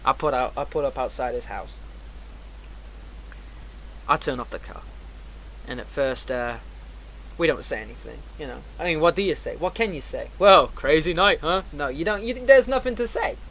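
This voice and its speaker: A man's voice: a little high, lively intonation, normal speed, long pauses, fairly bright tone, a little hiss or hum, low-quality recording. A man casually telling a personal story as a streamer, in an emphatic, slangy, heavily profane way.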